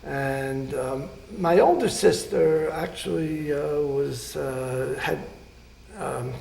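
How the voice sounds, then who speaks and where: An older man recounts his life in a halting, unhurried way, full of pauses and fillers. His voice is gravelly and a little high. He sounds as if he is in an echoey room. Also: darkish tone. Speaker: surgeon interviewee. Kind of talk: oral history interview.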